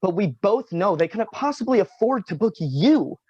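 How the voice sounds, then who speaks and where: A man reading a quiet, practical young manager in a virtual staged reading, with a dry, exasperated delivery. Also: high voice, sing-song, moderate pace, husky, dry recording, dull audio, heavily acted. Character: quiet, practical male manager in his twenties. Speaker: also reads stage directions. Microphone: video call.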